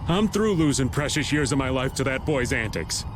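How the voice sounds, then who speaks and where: A man's voice: medium pitch, lively intonation, moderate pace, noisy background, natural voice. A man as a grumbling, theatrical middle-aged rogue. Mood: wry. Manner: wry, folksy, complaining. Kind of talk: video game voice acting.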